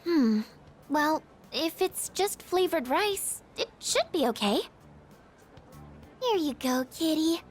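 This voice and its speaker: High-voiced shy teenage girl. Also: big pitch swings, slow, soft background music, full character voice. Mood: sweetly delighted. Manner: hesitant, stammering, soft-spoken and apologetic. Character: shy, gentle, insecure teenage girl student. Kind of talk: video game character dialogue voice acting.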